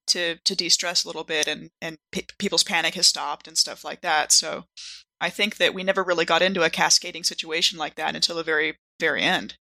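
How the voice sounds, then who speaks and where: A woman's voice: lowish voice, pushed and bright, no echo. A woman chatting casually and informally as a player on a podcast, sprinkling her speech with filler words. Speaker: podcast cast member and player. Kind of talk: casual chat.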